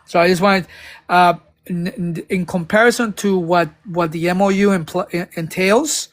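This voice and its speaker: A man's voice: high-pitched, steady tone, normal speed. A man making a political argument on a live panel, talking in a rambling way with many stumbles and restarts, now and then emphatic. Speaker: panel speaker. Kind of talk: casual political discussion.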